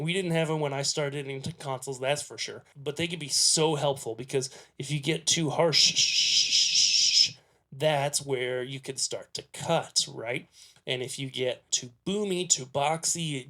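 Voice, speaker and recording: A man's voice: medium pitch, bright, forceful tone. A man presenting a casual hands-on tutorial, explaining conversationally and often checking in with the listener as he goes.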